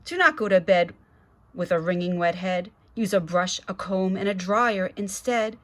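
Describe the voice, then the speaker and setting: A woman's voice: mid-range voice, moderate pace, lightly acted. A stern storybook mother, put on by a woman reader, nagging in rhyme. She scolds with firm, singsong insistence. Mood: stern scolding.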